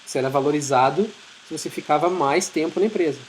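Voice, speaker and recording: A man's voice: a little high, fast, a little hiss or hum. A man with a Brazilian Portuguese accent giving an informal, explanatory talk in a conversational way. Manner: conversational, explanatory, acts out short imagined dialogues. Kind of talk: informal presentation.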